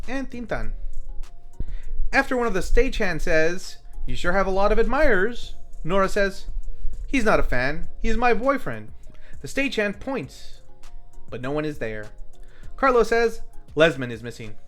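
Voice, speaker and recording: A man with a slightly high, very lively voice recaps a movie plot from a script. He hosts the review and leans into the quoted dialogue, with faint music underneath. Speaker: film review host.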